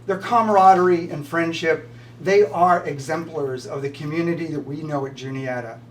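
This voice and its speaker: A man's voice: a little high, normal speed. An older man, a retiring college professor, giving prepared farewell remarks. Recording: distant mic with slight background noise.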